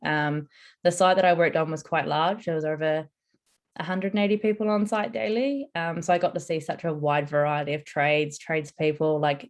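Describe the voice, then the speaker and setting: A young woman answering interview questions in a casual, earnest and enthusiastic way. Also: low-pitched. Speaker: interviewee, construction apprentice.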